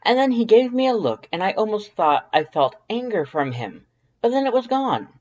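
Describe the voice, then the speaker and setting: Theatrical male skeleton for audio fiction one reader putting him on: pompous, formal, loud, raised pitch, muffled recording. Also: sing-song, normal speed. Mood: proud, indignant. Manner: pompous, declamatory, formal wordy diction.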